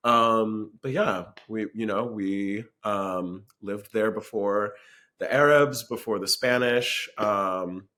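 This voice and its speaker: Young man, from Florida, low-pitched, dry deadpan chat, halting with ums. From Florida, USA. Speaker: podcast guest. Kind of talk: casual comedic chat.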